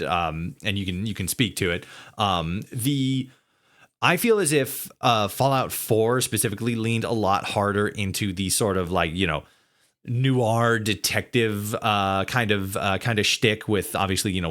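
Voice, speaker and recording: Man, somewhat low pitch, podcast interviewer, casual, halting delivery, repeated words. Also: a bit bright. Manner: halting, circles toward long questions with repeated words.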